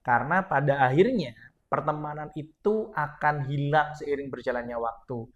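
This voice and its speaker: A man's voice: fairly high pitch, normal speed, a bit bright, highs cut off. Encouraging man, earnest advice. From Madura, Indonesia. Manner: earnest, encouraging, speaks directly to listeners. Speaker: podcast guest, mentor. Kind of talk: casual motivational chat.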